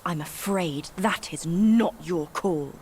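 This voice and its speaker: A woman voicing a scornful, sharp-tongued young spider demon in a game cutscene, cold and sarcastic. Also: lowish voice, takes it slowly, very noisy. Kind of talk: video game cutscene voice acting.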